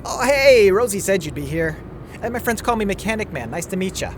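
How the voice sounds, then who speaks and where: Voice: medium pitch, big pitch swings, a little fast, noisy background, lightly acted. A performer playing a friendly, eager, chatty junkyard spaceship mechanic in a radio drama. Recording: clear vehicle ambience behind voice.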